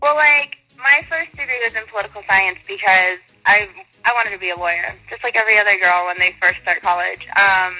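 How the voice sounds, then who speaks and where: A woman's voice: mid-range voice, a little fast, bright, forceful tone, faint music behind, rough recording. A young woman DJ answering interview questions in a chatty, upbeat way with lots of fillers. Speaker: guest DJ.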